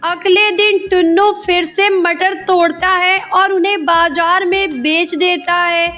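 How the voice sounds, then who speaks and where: Children's story narrator: woman, bright forceful tone, unhurried scripted read, music bed. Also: very high voice, fairly even intonation. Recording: music behind voice.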